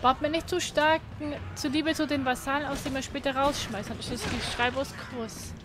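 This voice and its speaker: A woman's voice: high-pitched, fairly even intonation, brisk. A woman co-hosting a gaming livestream in casual chat, commenting on the play. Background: game music.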